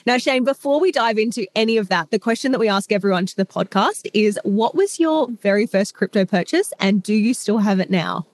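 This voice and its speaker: A woman hosting a podcast interview, her voice a little croaky from illness, chatty and upbeat. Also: medium pitch, animated, a little fast, hardly any pauses, dry recording. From Australia. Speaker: podcast host. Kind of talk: casual interview.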